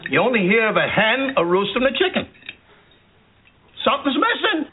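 A man's voice: very high voice, very animated, a little fast. A man playing a cranky, argumentative older father in a television sitcom, firing off insistent, nitpicking questions. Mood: insistent, agitated. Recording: tinny, very noisy TV clip.

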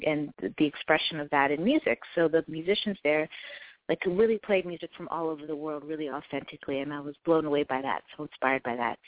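A woman's voice: deep voice, dry recording. Chatty woman singer on a phone line. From Ethiopia, raised in suburbs near Washington DC. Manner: chatty and enthusiastic, many fillers and restarts. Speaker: guest singer-songwriter. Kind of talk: casual radio interview. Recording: dry, tinny phone-like line.

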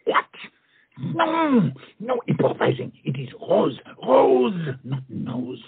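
An actor playing a witty, lovelorn soldier-poet who secretly prompts another in a comic period stage play, theatrical and exasperated. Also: male voice, high voice, wildly swooping pitch, slow, vocal fry, slightly rough, exaggerated voice. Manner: theatrical, swinging from hushed prompting to exclamation. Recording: muffled, dull stage play recording.

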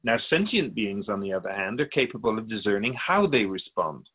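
Man playing a husky, patient all-knowing spirit. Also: lowish voice, sing-song, a little fast, phone-quality sound. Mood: patient, explanatory. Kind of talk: scripted radio drama dialogue.

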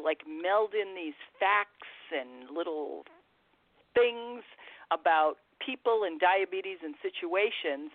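A woman from Massachusetts talks slowly as an enthusiastic podcast guest, pausing and restarting often. Her voice comes through dry and narrow, like a telephone call. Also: lowish voice, no echo. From Massachusetts, USA. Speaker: podcast guest and production helper. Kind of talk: casual interview chat. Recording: dry, thin phone line.

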